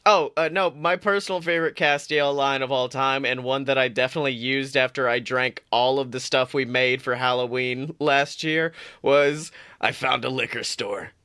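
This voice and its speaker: A man co-hosting a podcast in casual chat, animated, swearing freely and laughing often. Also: medium pitch, moderate pace, few pauses, clean tone, no room sound, studio quality. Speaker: podcast co-host.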